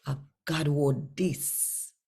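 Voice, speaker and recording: A woman's voice: low voice, fairly even intonation, drawn-out words, vocal fry, slight background noise. A woman with a Jamaican accent, reading scripture aloud and chatting to her viewers in a folksy, conversational way. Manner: warm, folksy, conversational Creole phrasing. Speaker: scripture reader. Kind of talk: daily scripture reading and casual address.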